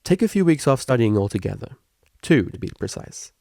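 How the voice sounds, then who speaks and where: Kindly storybook stallion tutor, played by a man: informal, encouraging, playful, a touch gravelly, dry recording. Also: mid-range voice, big pitch swings, a little fast, dark tone, no room sound. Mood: encouraging, firm. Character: energetic kindly male pony tutor, understanding, playful. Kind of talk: fan fiction audiobook character reading.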